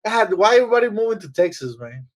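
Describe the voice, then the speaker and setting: A man's voice: high voice, big pitch swings, fast talker. A man co-hosting a casual interview, joking and teasing the guest with constant profanity. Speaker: co-host.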